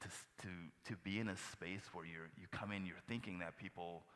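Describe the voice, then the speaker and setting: Male panelist, very low voice with a forceful edge, halting and thoughtful, long hall reverb. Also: steady tone. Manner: halting, many restarts, soft trailing asides. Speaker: panel speaker who gives Friday sermons at a jail. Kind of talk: reflective panel talk. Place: church hall.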